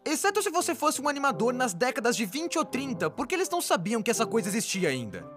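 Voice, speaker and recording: An excitable cartoon explainer narrator with a Brazilian accent, performed by a woman. The voice sits high and moves in lively swoops, with faint music underneath. The delivery is scripted yet informal, wry and exaggerated. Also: fast. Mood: wry, enthusiastic. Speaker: narrator of an animated explainer video.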